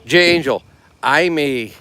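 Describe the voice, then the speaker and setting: A man's voice: fairly high pitch, takes it slowly, sharp tone, smooth. A middle-aged man hosting a casual livestream from a car, reading out viewer comments in a chatty, upbeat way and laughing easily. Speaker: livestream host.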